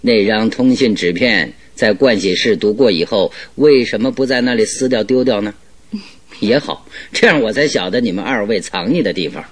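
A man acting a shrewd, jovial, self-assured English detective in a Mandarin radio drama, explaining his deductions expansively with a slightly husky voice. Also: on the low side, normal speed. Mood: amused, teasing.

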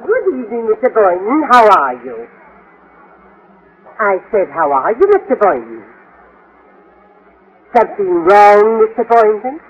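A woman's voice: medium pitch, sing-song, measured pace, pauses often, husky. An actress playing a sweet, flustered older lady in a fluttery, genteel manner in a 1950s radio sitcom. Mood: polite, flustered. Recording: noisy muffled old radio recording.

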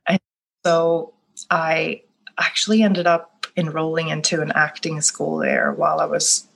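A Swedish-born woman whose first language is Swedish speaks in a low, unhurried register. She is a middle-aged life coach who tells her story thoughtfully in an interview, often circling back and restarting her phrases. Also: flat delivery, creaky, rough recording. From Sweden. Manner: soft-spoken, thoughtful, with frequent restarts. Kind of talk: reflective interview answer.

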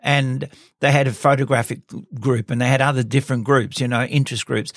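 A man's voice: mid-range voice, steady tone, brisk, no echo, studio quality. Older man telling rambling stories. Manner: rambling anecdotal storyteller, chuckles often. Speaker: host. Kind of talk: casual chat interview.

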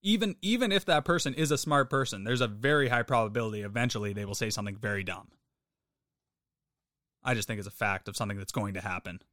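A man's voice: fairly low pitch, fast, a bit bright, dry recording, studio quality. A Canadian man co-hosting a casual podcast, agreeable and reflective, often backing his partner up. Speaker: podcast co-host.

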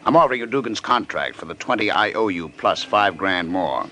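An actor playing a slick, brazen fight promoter in an old radio drama, smooth-talking and insistent, with a noisy recording. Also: male voice, lowish voice, expressive, a little fast, pushed and bright. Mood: pushy, confident. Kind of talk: 1950s radio detective drama acting.

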